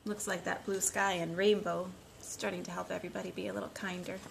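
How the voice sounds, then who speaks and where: A woman in her thirties reading and talking to young children in simple, expressive storytime phrasing, recorded on a phone at home. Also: lowish voice, quick pace, gravelly. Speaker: children's storytime reader and teacher. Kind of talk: storytime read-aloud and talk to young children. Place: living room at home, indoors. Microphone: phone at about arm's length. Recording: noisy homemade phone recording.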